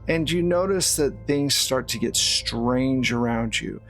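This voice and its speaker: A man's voice: mid-range voice, slow, a bit bright. A man narrating a horror tabletop roleplaying game as the game master. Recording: music behind the voice.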